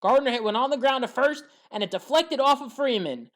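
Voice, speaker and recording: Sports recap narrator: woman, higher register, strongly varied intonation, measured scripted play-by-play. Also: lively intonation. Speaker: sports highlights commentator.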